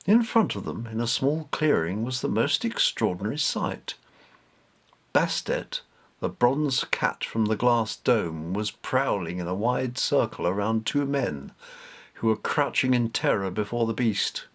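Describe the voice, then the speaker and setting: Expressive male storybook narrator. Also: on the low side, measured pace. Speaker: author reading own novelette.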